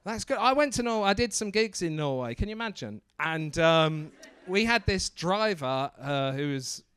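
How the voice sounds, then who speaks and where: A man doing stand-up, teasing audience members in quick, joking crowd work. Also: fairly high pitch, animated, fairly bright tone, smooth. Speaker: stand-up comedian.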